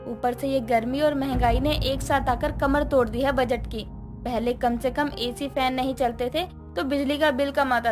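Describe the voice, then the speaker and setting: A woman voicing a thrifty, worried widowed mother, loving but stern, in an animated moral story. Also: high voice, close to an everyday voice.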